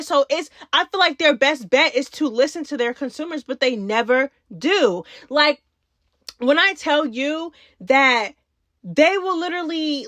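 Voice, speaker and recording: A woman's voice: high voice, fairly bright tone, no echo. A woman hosting a casual reality TV commentary video, with sassy, slangy talk aimed straight at her viewers. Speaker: YouTube host.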